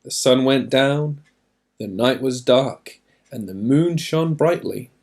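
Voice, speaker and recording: A man reading a children's storybook aloud in an expressive narrating style, with deliberate emphasis. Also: mid-range voice.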